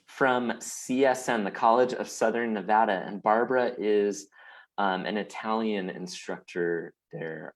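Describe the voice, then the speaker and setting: A man hosting a casual online interview show. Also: mid-range voice. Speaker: host of a weekly chat show.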